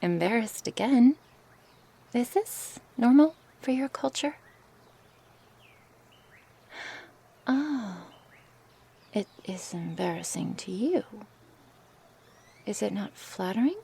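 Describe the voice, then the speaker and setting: A woman playing a gentle, curious, coaxing snake woman in an intimate ASMR roleplay, speaking softly and hesitantly in a breathy, often whispered voice. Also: fairly high pitch, animated, exaggerated voice. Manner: slow, hesitant, soft-spoken with sighs and pauses. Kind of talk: ASMR roleplay, intimate one-sided dialogue.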